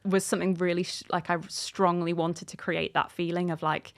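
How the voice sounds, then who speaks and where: A woman's voice: fairly low pitch, flat delivery, moderate pace. Chatty, informal woman in her thirties. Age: 30s. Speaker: author being interviewed. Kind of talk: casual interview chat.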